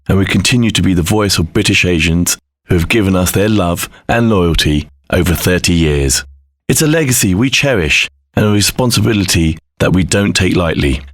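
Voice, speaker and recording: A man giving a formal, measured, scripted podcast introduction as a station executive. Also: sits low, no room sound, studio quality. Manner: formal, measured promotional delivery. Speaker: radio station managing director.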